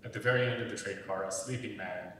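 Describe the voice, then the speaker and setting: A man's voice: fast talker, fairly bright tone. A young man narrating the stage directions of a script. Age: young adult. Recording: very echoey hall, distant mic, faint rumbling noise.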